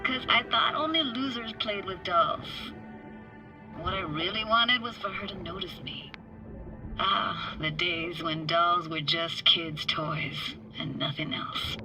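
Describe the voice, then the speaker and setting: A woman's voice: fairly low pitch, slow pace, rough recording. An actress playing a nostalgic, playfully wry young techie woman in a video game, talking in casual, clipped slang. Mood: wistful. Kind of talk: naturalistic video game acting. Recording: music and underwater sounds behind.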